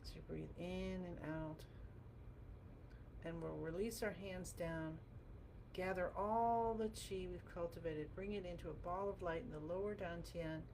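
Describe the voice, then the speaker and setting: A woman with a gravelly voice guiding a qigong class through movement and meditation in a gentle, encouraging, informal way. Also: fairly low pitch, fairly even intonation, measured pace. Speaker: qigong teacher. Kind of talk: guided movement and meditation instruction. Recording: clearly noisy background.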